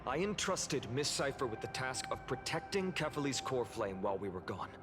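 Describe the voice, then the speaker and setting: A man's voice: mid-range voice, very animated, music in the background, close to an everyday voice. Man playing a grave, steadfast young warrior leader. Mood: resolute, solemn. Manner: solemn, measured, earnest. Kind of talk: dramatic video game voice acting.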